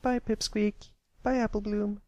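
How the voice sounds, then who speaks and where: A man voicing a sweet, excitable little filly pony with a bubbly, chirpy manner in a cartoon fan story. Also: high-pitched, unhurried, dry recording, dull audio, pitched above the performer's own voice. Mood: cheerful.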